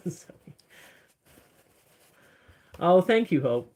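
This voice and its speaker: A woman with a deep voice chatting casually on a phone livestream from her studio, rambling a little as she answers viewers' comments. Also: big pitch swings, very slow, a little mellow. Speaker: encaustic artist streaming live. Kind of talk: casual livestream chat while working.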